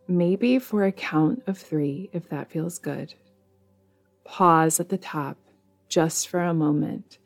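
A woman leading a guided meditation in a soothing, measured way, leaving long pauses between phrases. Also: sits low, darkish tone. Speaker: meditation guide, podcast host.

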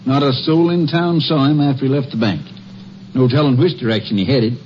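A folksy, earnest sheriff played by a man in an old radio western. His voice is mid-pitched and plain, on a dull recording with faint noise. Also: brisk, natural voice. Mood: businesslike. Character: plain-spoken, stern male county sheriff. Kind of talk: 1950s radio western acting.

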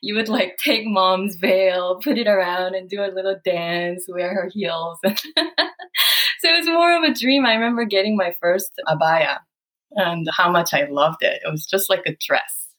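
A woman with a Korean accent speaks in a fairly low voice. She answers interview questions earnestly and thoughtfully. She laughs easily as she recalls her past. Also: normal speed, fairly bright tone. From South Korea. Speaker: guest.

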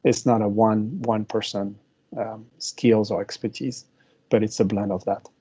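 A man's voice: on the low side, level tone, a little slow, mellow, slightly rough. A man with a French accent, a marketing executive answering interview questions with many hesitations and restarts.

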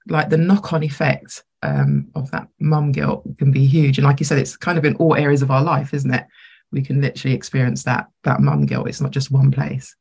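Woman, podcast co-host, croaky, gravelly, husky, reflective, casual chat. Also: a bass voice, a little mellow. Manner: reflective, thinks aloud.

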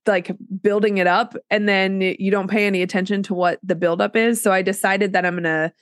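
A woman's voice: medium pitch, steady tone, a little fast, no echo, studio quality. A woman hosting a podcast, stuffy from a cold, talking casually with lots of fillers. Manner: chatty, many fillers and self-interruptions. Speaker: podcast host writing a book.

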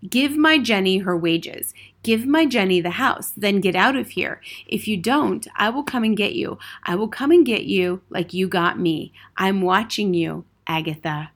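A woman voicing a menacing, accusing ghostly man who speaks slowly and deliberately, in a storybook reading. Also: medium pitch. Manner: slow, deliberate, threatening.

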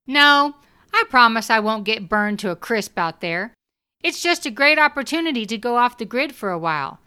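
A man's voice: very high-pitched, lively intonation, moderate pace, bright, forceful tone, clean tone, voice pushed up, exaggerated voice. A man voicing a bubbly, hospitable woman, brassy and reassuring, in audiobook dialogue. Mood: breezy, inviting.

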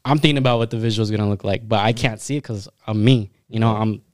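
Man, fast, clear crisp voice, dry close sound, joking, swears. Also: lowish voice, no echo. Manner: joking, excitable, profane. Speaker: podcast co-host. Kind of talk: casual podcast chat.

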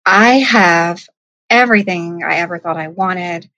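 A woman in her thirties tells her story slowly and thoughtfully, with a lowish voice. She hesitates often and laughs easily. The sound is dry and close. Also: sharp tone, no echo, low-quality recording. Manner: reflective, hesitant with many ums, laughs easily. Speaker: life coach guest. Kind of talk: casual interview storytelling.

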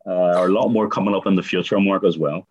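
Northern irish guy hosting local radio, lowish husky voice, chatty interview style. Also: steady tone, fast talker, highs cut off. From Northern Ireland. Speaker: community radio host.

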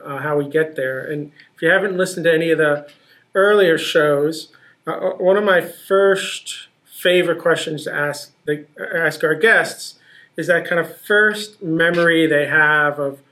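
A man's voice: a little high, unhurried, sharp tone. A man hosting a podcast who asks questions eagerly and often repeats words as he starts his sentences. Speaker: podcast host. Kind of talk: casual interview hosting.

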